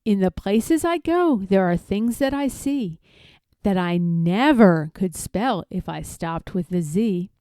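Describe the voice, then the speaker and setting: A woman's voice: medium pitch, lively intonation, slow, warm tone, clear, resonant tone, no room sound, clean studio sound. A woman reading a rhyming picture book aloud expressively, drawing out key words for emphasis. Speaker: read-aloud storyteller.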